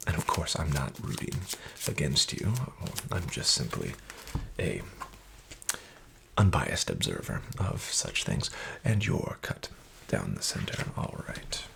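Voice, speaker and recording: A man voicing a sketchy, condescending casino dealer in a soft-spoken ASMR roleplay, half-whispering with false politeness. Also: a bass voice, very animated, slow pace, vocal fry, a little hiss or hum, heavily acted. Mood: smarmy.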